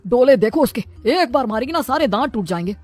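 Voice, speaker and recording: A woman's voice: a little high, expressive, fairly quick, a little mellow. A woman voicing a wary older father-in-law in a comic cartoon story, chiding and teasing his wife with skepticism. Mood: teasing, warning. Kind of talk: comic Hindi moral-story cartoon acting.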